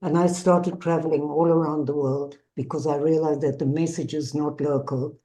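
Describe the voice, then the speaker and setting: An older woman with a South African accent gives a measured, earnest personal testimony as a bereaved mother and peace activist. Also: sits low, little pitch movement, dark tone. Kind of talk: personal testimony to an online audience.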